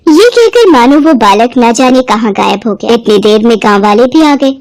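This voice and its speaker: A woman storyteller narrates a scripted devotional tale. Her voice sits fairly high, and the sound is close and very dry. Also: lively intonation, moderate pace, a little mellow, no room sound.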